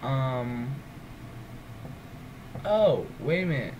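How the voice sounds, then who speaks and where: A man's voice: medium pitch, lively intonation, very slow, darkish tone, slight background noise, poor audio. Groggy man rambling through a game. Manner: rambling, hesitant, full of ums and self-corrections. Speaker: let's play gamer. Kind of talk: casual gameplay walkthrough.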